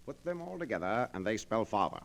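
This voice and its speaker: A man's voice: fairly low pitch, big pitch swings, a little fast, creaky. An American man hosting and announcing in the manner of 1940s radio.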